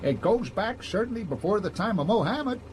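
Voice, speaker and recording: An actor playing a folksy, plain-spoken old frontier scout with an American accent in a radio drama. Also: male voice, high-pitched, lively intonation, normal speed, slight background noise. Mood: grave, reminiscing. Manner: folksy, rambling storyteller.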